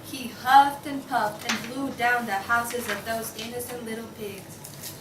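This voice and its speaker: Woman as an insistent, high-voiced pig juror. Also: fairly even intonation, measured pace, lightly acted. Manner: insistent, exasperated. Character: impatient, argumentative pig juror certain of guilt. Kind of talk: student table read of a comic play. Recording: echoey noisy room, distant mic.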